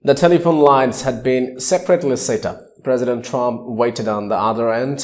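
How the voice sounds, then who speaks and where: A male news reader with a dark, relaxed tone and a mid-range pitch. He delivers scripted news reports as narration. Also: normal speed.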